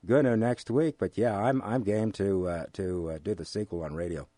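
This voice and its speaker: An American man being interviewed, speaking in a halting, measured way with formal, academic wording. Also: fairly low pitch, warm tone, no room sound. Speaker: interview guest, amateur radio drama actor. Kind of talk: relaxed interview conversation.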